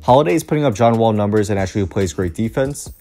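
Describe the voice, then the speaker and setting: This is a young man delivering scripted basketball commentary in a low, mellow voice that still sounds a little rough from a recent flu. He moves steadily through lists of stats in an upbeat tone. Faint music plays underneath. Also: expressive, quick pace. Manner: reads a prepared script with stat lists, upbeat. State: recovering from the flu, voice slightly off. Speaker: sports commentary YouTuber.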